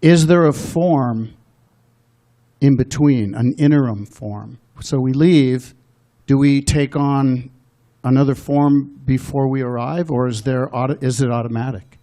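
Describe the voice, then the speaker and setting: A man in the audience asks a question at a spiritual class. His voice is mellow and mid-pitched, and he phrases his question slowly and carefully. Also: fairly even intonation. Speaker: audience member asking a question.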